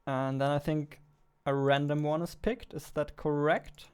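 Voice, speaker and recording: A man's voice: fairly high pitch, fairly even intonation, unhurried, darkish tone, smooth. A young man giving casual work-along commentary, thinking aloud in a joking, self-mocking way at his desk. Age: young adult. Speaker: indie game developer vlogging. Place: indoors at a computer desk.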